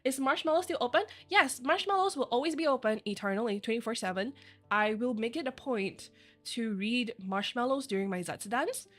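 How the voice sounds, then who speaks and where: A woman whose first language is Chinese, chatting casually with her viewers on a live stream, bubbly and quick to giggle. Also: on the high side, animated, a bit bright, faint music behind. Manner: bubbly and giggly, laughs often; chatty, rambling asides.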